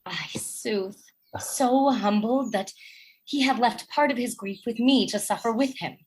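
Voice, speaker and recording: A woman playing an earnest, affectionate, persistent noble wife in a Shakespearean radio play, expressive and pleading. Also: a little high, a little slow, lightly acted, poor audio. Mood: pleading, coaxing.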